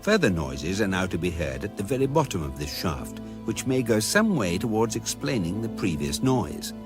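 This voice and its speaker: An actor playing a drily pedantic storyteller, narrating a comic radio drama in a measured, precise way. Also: male voice, lowish voice, big pitch swings, music in the background. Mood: dry, measured.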